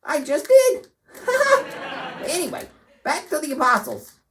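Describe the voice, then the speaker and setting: A cheerful, excitable young puppet host for a children's Bible show, put on by an adult performer. The voice sits high and moves with huge swings in pitch, explaining things in a playful, animated way. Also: slow, background noise, full character voice.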